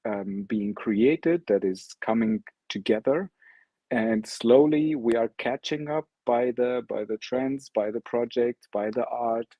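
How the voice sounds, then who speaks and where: A man's voice: medium pitch, takes it slowly, dark tone, dry recording. A man whose first language is Arabic gives an online talk with slides as a guest speaker. He speaks with frequent ums and restarts. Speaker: guest speaker presenting his art project. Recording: dry, dull lo-fi audio.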